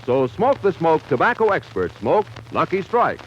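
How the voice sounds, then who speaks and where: A muffled old radio recording with faint noise. A male announcer delivers a scripted advertisement in a fairly high voice with lively swings of pitch. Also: normal speed. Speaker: commercial announcer. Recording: muffled, slightly noisy 1940s radio recording.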